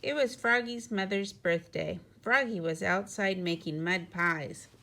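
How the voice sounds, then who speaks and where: A woman narrating a children's picture book expressively, with deliberate phrasing and emphatic sound words. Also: medium pitch, gravelly, sharp tone. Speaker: teacher reading a picture book.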